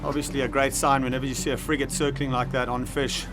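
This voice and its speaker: A man's voice: medium pitch, level tone, music in the background. A man with an Austrian accent, hosting a fishing show and calling out the action enthusiastically from a boat offshore. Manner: enthusiastic, quick exclamations. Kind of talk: excited on-camera fishing commentary.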